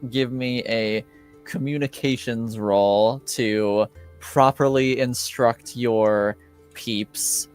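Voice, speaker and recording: Slow-talking game master. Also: male voice, mid-range voice, steady tone, smooth, faint music behind. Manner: unhurried, prompting, asks questions. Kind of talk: actual-play tabletop roleplay.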